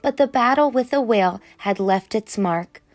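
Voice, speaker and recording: A woman reading a children's picture book aloud as an expressive storyteller. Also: on the high side, normal speed. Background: music.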